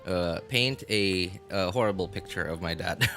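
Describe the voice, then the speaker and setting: A man livestreaming games in casual chat, talking in a halting, rambling way and often repeating himself. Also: sits low, pushed and bright. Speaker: live game streamer. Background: music.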